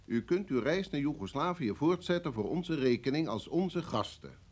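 An actor playing a senior security chief in an old radio drama, brusque and formal, with a husky voice and an authoritative manner. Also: male voice, on the high side, lively intonation, a little mellow. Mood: firm, persuasive. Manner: authoritative, formal, measured phrasing. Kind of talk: vintage Dutch radio drama acting.

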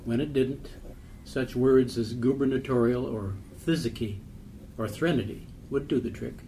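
Male poetry reader, mellow tone, measured and formal, faint background noise. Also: medium pitch. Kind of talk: literary poem reading.

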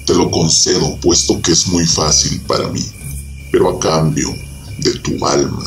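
A man playing an infernal devil spirit who bargains for souls, speaking slowly and with command in a storytelling legend. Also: low voice, steady tone, over music. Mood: ominous, commanding. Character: infernal spirit, the devil offering a soul pact.

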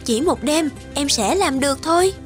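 A woman playing a gentle, devoted young fairy wife in a cartoon folktale, speaking earnestly. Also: high voice, lively intonation, normal speed. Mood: reassuring. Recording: music behind the voice.

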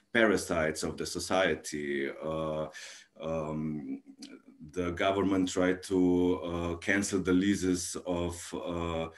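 A man speaking on a video call panel, halting often with filled pauses. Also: deep voice. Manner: hesitant, many filled pauses. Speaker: project coordinator of a cultural association.

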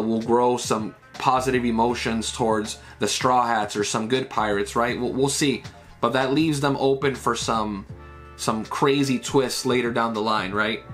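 A man in his thirties, chatting loosely through a comic chapter at a middle pitch. He fills his talk with "like" and "right?" tags. Faint music plays under his voice in a small room at home. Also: fairly even intonation, normal speed, fairly bright tone. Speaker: manga reviewer. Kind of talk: casual breakdown of a comic chapter.

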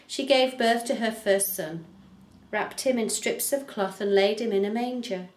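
A middle-aged woman reads a Bible passage as if leading worship, in a mid-pitched voice. Her delivery is measured and carefully enunciated. The sound is roomy and echoing, picked up a short distance away in a home living room. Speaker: minister reading scripture. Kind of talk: scripture reading for a church service. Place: small living room, indoors.

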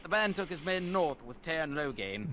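An actor playing a smarmy, mock-polite bandit leader in a fantasy game, cowardly underneath. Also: male voice, fairly high pitch, lively intonation, moderate pace, crowd noise, lightly acted. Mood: nervous, pleading. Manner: mock-polite, smooth-talking. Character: smarmy bandit leader running a toll, cowardly when beaten.

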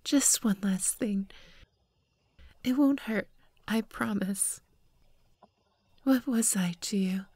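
A woman's voice: mid-range voice, no room sound. Woman acting wounded, wistful young female marine, whispery. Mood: weak, wistful. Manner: soft, breathy, halting delivery with whispered phrases. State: weak from injury. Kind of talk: anime fan dub drama.

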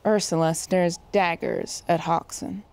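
A woman's voice: lowish voice, steady tone, measured pace, a little mellow, smooth. A woman reading scripted narration and stage directions for an audio drama.

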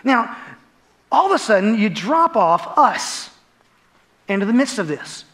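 A man's voice: high-pitched, sing-song, unhurried, fairly bright tone. An American man in his forties preaching a sermon in a conversational, emphatic way, often tagging points with short questions. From United States. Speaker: pastor. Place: large dark church auditorium, on stage.

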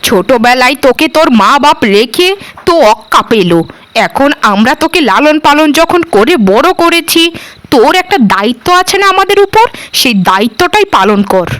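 A woman performs a greedy, bullying middle-aged aunt from a cartoon. She scolds and orders in a high, animated voice. Every phrase is bossy and contemptuous. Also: moderate pace, no echo. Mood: scolding, contemptuous. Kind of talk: cartoon voice acting.

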